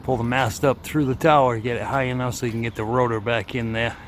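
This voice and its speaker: A man's voice: mid-range voice, steady tone, fast talker. Casual man narrating outdoors over background noise. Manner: chuckles at his own remarks. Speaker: camera operator and helper narrating a vlog. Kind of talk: casual on-the-spot commentary. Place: outdoors at the foot of an antenna tower. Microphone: handheld camera. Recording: outdoor ambient noise on handheld camera.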